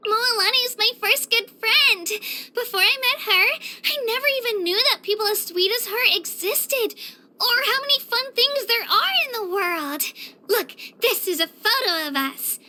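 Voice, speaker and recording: Very high, bright young girl. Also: animated, normal speed, a little hiss or hum, full character voice. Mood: cheerful. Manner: earnest, youthful, gentle. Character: earnest, sweet, self-doubting young girl hero from fantasy game. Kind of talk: anime-style video game voice acting.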